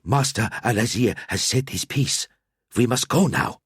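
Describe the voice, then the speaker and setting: A man's voice: mid-range voice, measured pace, breathy edge, no room sound, studio quality, pitched above the performer's own voice. A man voicing an earnest, cautious male knight who speaks with urgent concern.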